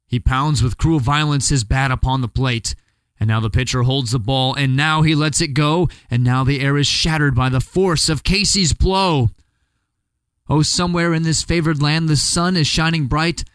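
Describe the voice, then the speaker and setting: A man giving a dramatic recitation of a poem, with big swings in pitch and pace and bursts of shouting. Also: normal speed, smooth, dry recording. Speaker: poetry reader.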